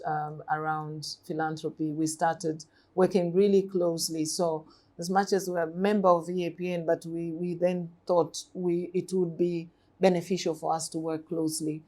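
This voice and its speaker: A woman's voice: fairly low pitch, level tone, moderate pace, a little mellow. A woman in her forties from Tanzania, talking thoughtfully as a podcast guest with many ums and restarts. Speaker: guest, nonprofit CEO. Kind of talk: podcast interview.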